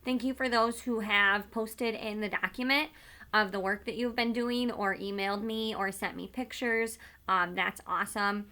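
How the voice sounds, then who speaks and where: A woman teacher speaks at a medium pitch with a bright, forward tone. Her delivery moves between informal talk to pupils and storybook read-aloud. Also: steady tone, moderate pace.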